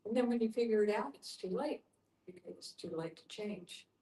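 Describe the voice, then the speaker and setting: A woman's voice: medium pitch, moderate pace, dark tone. A woman taking part casually in a group discussion as a participant with questions. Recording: echoey room with background noise.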